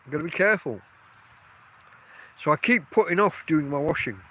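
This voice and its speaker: A man's voice: fairly high pitch, expressive, normal speed, sharp tone. A middle-aged man with a stuffy nose, rambling through a solo podcast outdoors by his tent. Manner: rambling, chatty, self-interrupting. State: congested from a cold. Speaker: podcast host. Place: outdoors at a tent camp in a field.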